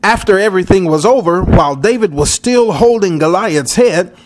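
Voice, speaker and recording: A man tells a children's Bible story with dramatic delivery. His voice is high for a man. The intonation is lively and sweeps widely as he narrates. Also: moderate pace, slight background noise.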